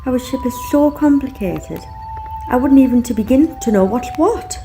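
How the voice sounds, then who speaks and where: Woman as mellow, caring space traveler. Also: high-pitched, lively intonation, moderate pace, soft background music. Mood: friendly. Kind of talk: fan audio drama acting.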